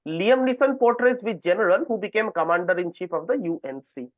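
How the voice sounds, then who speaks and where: A man with a noticeably high-pitched voice hosts a quiz over a narrow, phone-like call. The sound is dry, without room echo. He reads questions at length and moderates with informal asides. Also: a little fast. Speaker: quiz host.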